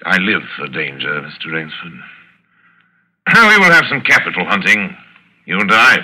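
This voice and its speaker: An older aristocratic big-game hunter in a vintage radio thriller. His low voice swoops widely and cuts through with a sharp, forceful edge. He is suave, deliberate and chilling, relishing every phrase. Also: expressive, full character voice. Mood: boastful, relishing. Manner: suave, deliberate, theatrical, savoring words. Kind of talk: 1940s radio acting. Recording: vintage radio drama broadcast recording.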